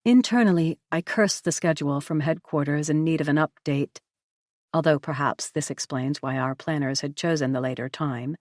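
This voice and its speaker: A woman narrating a novel in the first person, with a measured, even storytelling delivery. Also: low voice, dry recording, clean studio sound. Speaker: audiobook narrator.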